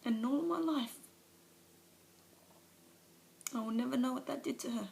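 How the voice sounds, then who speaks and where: A woman performs a remorseful recovering alcoholic mother, confessing in a low, gravelly voice that keeps close to one note and sometimes sinks into a whisper. It is recorded on a phone camera. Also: flat delivery, moderate pace, close to an everyday voice. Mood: anguished. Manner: confessional, dropping to whispers at times. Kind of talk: dramatic monologue performance.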